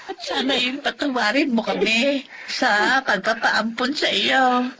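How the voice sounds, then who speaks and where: An emotional old sister in a radio drama, loving and apologetic. Her voice is high and bright, slow, over some background noise. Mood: tearful, apologetic. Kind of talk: Filipino radio drama acting.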